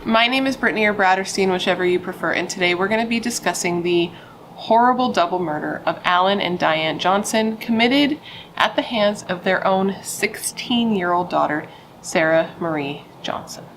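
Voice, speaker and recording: A woman's voice: fairly low pitch, moderate pace, a little hiss or hum, rough recording. A young adult woman, a pregnant true crime YouTuber, chatting playfully with dramatic asides and casual swearing.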